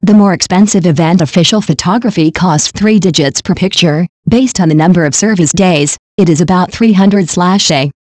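A text-to-speech narrator reads a celebrity news story at a mid pitch, steady and even throughout. The phrasing comes out literal and mechanical, and the voice sits dry and close with no room around it. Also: normal speed, hardly any pauses, no room sound.

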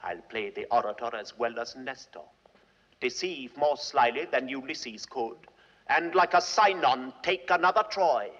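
An actor playing a scheming, bitter hunchbacked duke who wants the crown, in a classical Shakespearean film soliloquy. He speaks in measured, sardonic verse with sudden intense outbursts and a breathy tone. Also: mid-range voice, a bit bright. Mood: gloating. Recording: dull old film soundtrack with long reverb.

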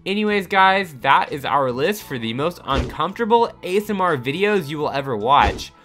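Man, upbeat countdown narration, fairly high voice, bright tone, soft music behind. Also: moderate pace. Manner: upbeat, lively countdown delivery. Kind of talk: scripted voice-over narration. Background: soft background music.

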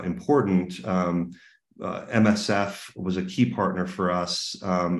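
A man with a very low, deep voice delivers a keynote over a webinar. He explains earnestly, stopping often on ums and restarting phrases. The sound is roomy, with a noticeable echo from the space around his computer mic. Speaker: keynote speaker. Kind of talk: webinar presentation.

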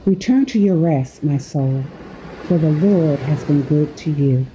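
Low, slow, mellow woman guiding sleep meditation. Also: slight background noise, low-quality recording. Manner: unhurried, measured delivery with pauses between phrases. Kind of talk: guided sleep meditation with scripture reading.

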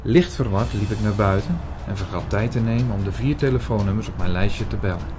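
A man playing an earnest, shy priest who narrates an audio drama in a measured, reflective way, hesitant in dialogue. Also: lowish voice, music in the background, lightly acted.

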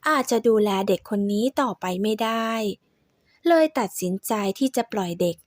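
A woman narrating a folk tale in a steady, measured storytelling voice. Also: a little high, dry recording, clean studio sound.

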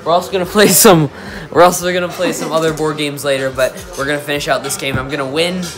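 A man's voice: a little high, steady tone, quick pace, music in the background. A teenage American male speaking in a casual vlog style to a handheld camera at arm's length. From United States. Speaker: vlogger, youth soccer player. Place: indoors: home living room, hotel room, busy venue. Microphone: phone or camera at arm's length.

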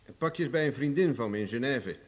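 A male actor plays a confident, hard-bargaining man in a radio play. His voice sits at a middle pitch. He gives orders and needles his opponent with mocking assurance. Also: lively intonation, fast talker, lightly acted. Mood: taunting, confident. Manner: self-assured, taunting, commanding. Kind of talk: radio drama acting.

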